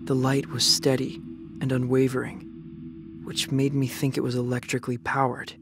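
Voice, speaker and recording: A grave young male survivor played by an actor recounts his ordeal for a drama podcast. His mid-pitched voice stays even and measured, like a written journal read aloud, over a clear music bed. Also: natural voice. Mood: grave, reflective. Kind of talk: scripted first-person dramatic narration.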